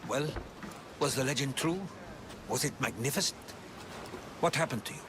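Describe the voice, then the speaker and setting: A man's voice: medium pitch, normal speed, background noise, natural voice. An actor voicing a curious male historian, eager and inquisitive in a video game cutscene.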